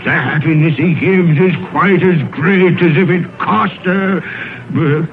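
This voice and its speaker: Old miser, remorseful and wistful, slow theatrical delivery, highish voice, radio play, thin vintage broadcast sound. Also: male voice, phone-quality sound, a little hiss or hum. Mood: moved. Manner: theatrical, exclaiming, repeating words. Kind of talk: old-time radio drama acting. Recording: old radio broadcast, thin band-limited, music behind.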